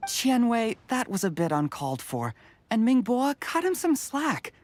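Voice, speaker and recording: A woman's voice: fairly high pitch, big pitch swings, measured pace, darkish tone. A woman voicing a reasonable peacemaker in a video game, speaking in a soothing, placating way.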